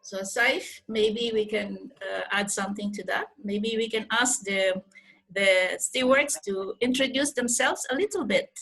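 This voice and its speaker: An older woman from the Philippines with a Filipino accent, giving an earnest, deliberate motivational talk as a mentor on a video call. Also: medium pitch, gravelly, a bit bright. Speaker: life coach and programme mentor. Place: indoors on a group video call. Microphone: video call microphone.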